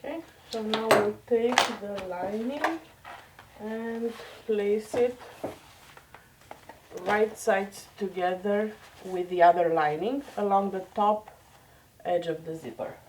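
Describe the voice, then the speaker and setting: A woman's voice: medium pitch, slow pace, long pauses, far from the mic, background noise. A woman with a slight cold that makes her a bit nasal, chatting casually through a sewing tutorial at home. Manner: chatty, self-deprecating, talks while working. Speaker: sewing vlogger. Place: home craft room.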